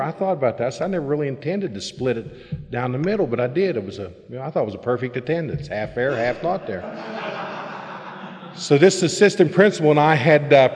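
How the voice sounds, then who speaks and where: A middle-aged man shares his own story at a recovery meeting, plain-spoken and candid, now and then pressing a point with force. His voice sits at an ordinary pitch, and it carries the long reverb of a big meeting hall. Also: darkish tone. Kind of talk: personal recovery talk to a meeting.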